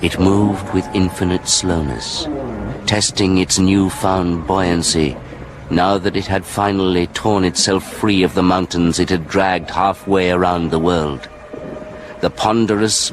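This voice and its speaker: A man narrating a radio drama thriller as a grave storyteller, solemn, measured and portentous. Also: sits low, steady tone, background noise. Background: dramatic music.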